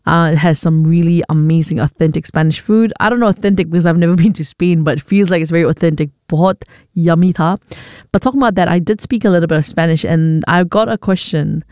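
Woman hosting radio, first language Nepali, casual and rambling, super clear voice, dead dry studio. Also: lowish voice, a little mellow, dry recording. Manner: chatty, hesitant, with many ums and quick laughs. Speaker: radio host. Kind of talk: casual chat mixing English and Hindi.

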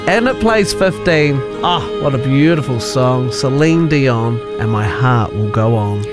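A woman's voice: extremely low pitch, expressive, unhurried, loud music behind the voice. A woman radio host presenting a countdown show in an upbeat, announcer style. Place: radio studio.

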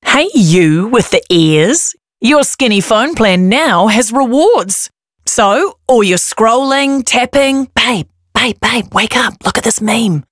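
A woman's voice: on the high side, expressive, dry recording, clean studio sound. Chatty woman reading a phone ad. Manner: chatty, fast disclaimers. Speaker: ad voice-over. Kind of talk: upbeat ad read.

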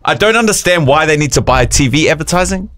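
A man's voice: fairly high pitch, animated, fast talker, fairly bright tone. A man hosting a breakfast radio show, laid-back and joking with his co-hosts. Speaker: radio host. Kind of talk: casual breakfast radio chat.

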